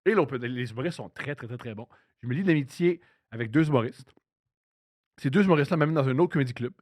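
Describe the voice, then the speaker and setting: A male comedian with a Québécois French accent, excitedly telling an anecdote in a casual podcast chat. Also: mid-range voice, fast, no room sound, clean studio sound. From Quebec. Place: recording studio.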